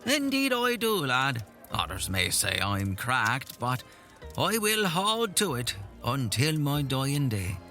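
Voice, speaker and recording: A folksy old storyteller, played by a man in an audio drama, with a lively, swinging delivery. He is boastful yet earnest and savours every turn of his whimsical tale in a theatrical way. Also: mid-range voice, slow, faint music behind, heavily acted. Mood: earnest, wistful.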